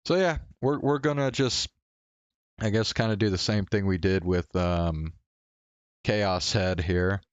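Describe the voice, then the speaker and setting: A man with a low voice gives casual commentary on a game. He speaks slowly and a little hesitantly, as if thinking aloud to himself. The sound is dry, with very little room in it. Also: clean tone, no echo, highs cut off. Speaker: streamer.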